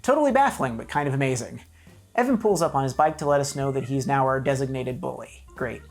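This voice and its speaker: A woman's voice: very deep voice, lively intonation, fast talker. A woman narrating a scripted comedic video review in a wry, mock-serious, emphatic way.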